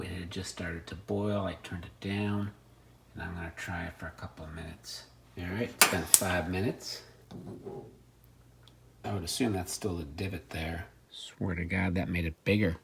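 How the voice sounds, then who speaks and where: A man's voice: deep voice, steady tone, moderate pace, vocal fry, slight background noise. A man narrating a casual hands-on repair demonstration, plain-spoken and understated, thinking aloud as he goes.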